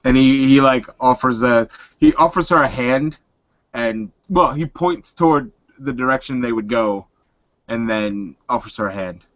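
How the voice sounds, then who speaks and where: A man's voice: mid-range voice, a bit bright, no room sound. A man improvises narration for his character in a tabletop roleplay. He talks informally, stopping and restarting as he builds each action. The sound is dry and a touch dull. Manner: halting, informal. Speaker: roleplaying game player. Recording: slightly dull, dry lo-fi audio.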